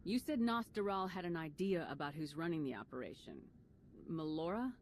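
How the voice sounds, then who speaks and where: A woman playing a steady, practical military commander in a video game, direct and businesslike. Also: low-pitched, a little fast, a little hiss or hum.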